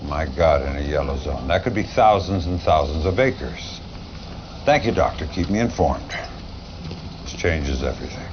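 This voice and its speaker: Deep-voiced, blunt military general. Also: male voice, animated, normal speed. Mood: grave alarm. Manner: blunt, grave, authoritative. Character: gruff, weary male military general briefing his commander. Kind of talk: dramatic game cutscene acting. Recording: dull, noisy game video audio.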